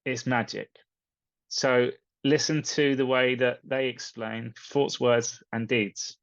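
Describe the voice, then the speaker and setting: A man's voice: mid-range voice, level tone, takes it slowly, vocal fry, no echo, muffled recording. A man talking casually and earnestly to viewers as a coach recommending something, in short emphatic phrases. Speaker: spiritual coach and video host.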